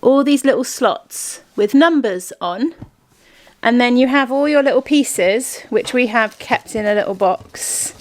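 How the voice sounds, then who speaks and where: A woman's voice: fairly high pitch, lively intonation, measured pace. A woman hosting a family vlog, chatting casually and explaining things in an informal way. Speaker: vlog host.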